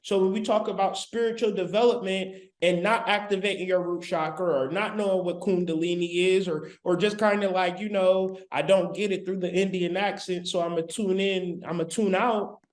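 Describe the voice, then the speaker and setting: A man with a high voice lectures on spirituality over a live stream, recorded on his laptop's mic. His delivery is emphatic and colloquial, circling back over his points and talking straight to the people watching. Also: steady tone, moderate pace, few pauses. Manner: emphatic, repetitive, colloquial, talks straight to viewers. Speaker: spiritual teacher.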